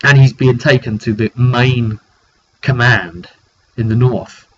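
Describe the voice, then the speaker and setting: A British man giving a casual, rambling recap of a roleplay game session, often halting mid-sentence as he tells the story. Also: medium pitch, a bit bright, husky. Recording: dull lo-fi recording with background noise.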